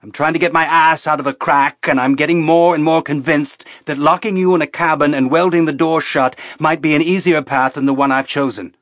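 A man narrating a novel plays a spaceship captain with a hot temper. He is gruff, blunt and defiant, pushing his pitch up as he lays down the law. The sound is close and dry. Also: steady tone, a little fast, few pauses, fairly bright tone, no room sound, close to an everyday voice. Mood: firm, exasperated. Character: angry, stubborn, profane male spaceship captain. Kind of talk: audiobook character acting.

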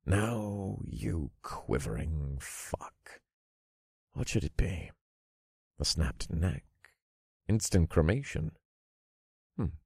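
A man voicing a cruel, gloating dark lord in an audiobook, commanding, taunting and full of contempt. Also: low-pitched, animated, a little slow, no room sound, studio quality, exaggerated voice. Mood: gloating threat.

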